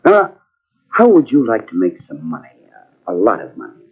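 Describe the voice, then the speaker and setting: An actor in an old-time radio mystery playing a scheming would-be playwright husband, smooth and insinuating, with menace underneath. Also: male voice, lowish voice, wildly swooping pitch, full character voice. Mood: oily, coaxing. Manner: smooth, insinuating, quick to turn threatening. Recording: tinny, band-limited old radio cassette transfer.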